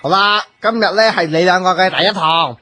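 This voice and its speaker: High-voiced cheerful magic professor. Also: male voice, fairly quick, bright, forceful tone. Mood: upbeat teaching. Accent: Hong Kong Cantonese. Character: cheerful magic-school professor, a parody boy-wizard teacher. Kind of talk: comic Cantonese radio drama acting.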